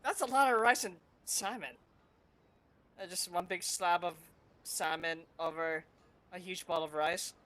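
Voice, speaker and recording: A woman's voice: on the low side, measured pace, fairly bright tone. A woman game streamer casually and playfully reacting to a game and talking back to its characters.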